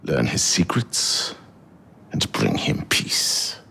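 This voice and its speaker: An actor playing a formal, solemn leader of an assassin council in a video game cutscene. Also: male voice, takes it slowly, pushed and bright, a little hiss or hum.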